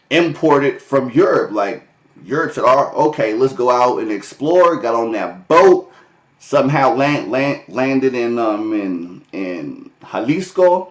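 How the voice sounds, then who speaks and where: An American man talking casually to his vlog viewers, with loose chatty delivery, restarts and frequent chuckles. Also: medium pitch. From United States. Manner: loose and conversational, many fillers and restarts, laughs often. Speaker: vlogger. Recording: echoey room.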